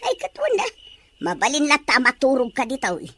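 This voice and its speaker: A woman's voice: high-pitched, wildly swooping pitch, moderate pace, a bit bright, breathy edge, exaggerated voice. A woman playing a fussy but kindly elderly grandmother in a Filipino radio drama, with an Ilocano accent. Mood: surprised, kindly.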